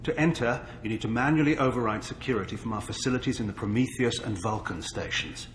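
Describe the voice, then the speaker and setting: An actor playing an earnest, middle-aged chief scientist in a video game, speaking gravely and articulately as he justifies himself. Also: mid-range voice, fast, close to an everyday voice. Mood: defensive, troubled. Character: earnest, defensive male chief scientist of a failed experiment. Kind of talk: video game dialogue acting. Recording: roomy echo with faint background noise.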